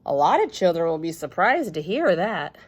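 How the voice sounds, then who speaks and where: A woman's voice: lowish voice, sing-song, husky. A woman voicing a patient, firm, kindly male schoolteacher in a storybook, speaking in an instructive way. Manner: measured, instructive.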